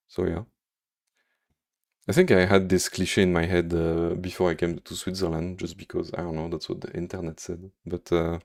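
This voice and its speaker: Man, Belgian French accent, live coding stream, thinking aloud, unhurried delivery, low voice. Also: a little mellow. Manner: thinks aloud with many fillers, hums to himself while working.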